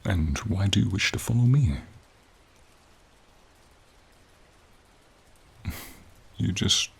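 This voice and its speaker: A man voicing a gentle, encouraging silver dragon in a fantasy ASMR roleplay, with a husky, near-whispered voice and slow, tender, courtly phrasing, over rain. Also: fairly low pitch, exaggerated voice. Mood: puzzled, questioning. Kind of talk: fantasy ASMR roleplay, soft intimate acting. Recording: steady rain behind the voice.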